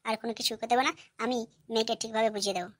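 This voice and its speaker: Cartoon mother-in-law, female performer: middle-aged, scolding, higher voice, very rapid. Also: fairly even intonation, no echo, natural voice. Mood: exasperated. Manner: scolding, questioning. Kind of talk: cartoon comedy dialogue.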